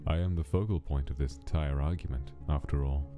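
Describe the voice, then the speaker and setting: A man voicing an aloof skeleton scientist in a fan comic dub, speaking in a measured, analytical way. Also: rumbling low, music in the background. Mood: detached.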